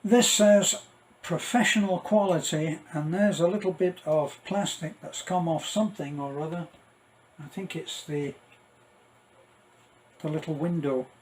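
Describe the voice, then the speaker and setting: A middle-aged man giving a casual, rambling unboxing commentary, halting and thinking aloud as he goes. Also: on the high side, rough recording. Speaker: vlogger.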